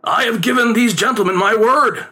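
A man's voice: very high voice, moderate pace, bright, forceful tone, pitched above the performer's own voice. A man putting on a fretting old conjurer. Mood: pleading. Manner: anxious, wheedling, theatrical. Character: fretting old male street conjurer, pleading.

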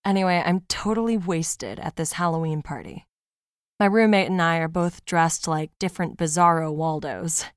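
A woman's voice: on the low side, moderate pace, clean tone, dry recording, studio quality, lightly acted. A woman playing a podcast host who reads out a fan's email in a casual, wry, sweary storytelling way for an audio drama. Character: podcast host reading a fan's confessional horror-comedy email aloud. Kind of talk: scripted audio drama monologue, conversational storytelling.

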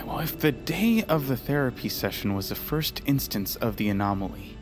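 An earnest junior agent in an audio drama. His voice sits a little high, polite and eager with an awkward hesitancy, and music runs clearly underneath. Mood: pensive. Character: earnest, awkward male junior agent studying case tapes. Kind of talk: audio fiction acting.

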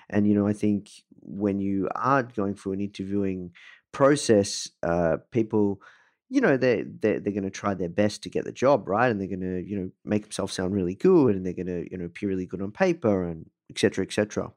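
Slightly low-voiced man hosting an interview. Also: a little mellow. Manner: halting, repeats phrases as he forms questions. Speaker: podcast host, interviewer. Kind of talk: casual interview questioning.

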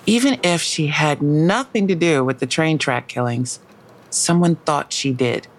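A woman playing a guarded, weary police detective in an audio drama, with a creaky voice and a hesitant manner. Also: low voice, a little slow, a little hiss or hum. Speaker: actor in a fiction podcast. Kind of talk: naturalistic audio drama acting.

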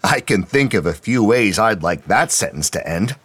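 A man's voice: fairly low pitch, animated, moderate pace, fairly bright tone, breathy edge, pitched above the performer's own voice. A man voicing an irreverent, teasing male expedition member with flippant sarcasm in an audiobook story.

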